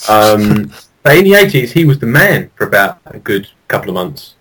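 A man co-hosting a podcast in casual chat, with an excitable, rambling way of talking. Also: fairly low pitch, moderate pace, a little hiss or hum, low-quality recording. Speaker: podcast co-host.

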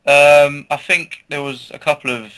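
A man's voice: a little high, fairly even intonation, moderate pace, fairly bright tone, poor audio. A man co-hosting a gaming podcast in casual chat, hesitant and stammering but blunt. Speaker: podcast co-host.

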